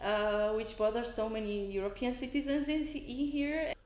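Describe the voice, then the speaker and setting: A woman who is a student ambassador, answering interview questions in a lively way and listing points. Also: a little high, normal speed, reverberant. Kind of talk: casual on-camera interview.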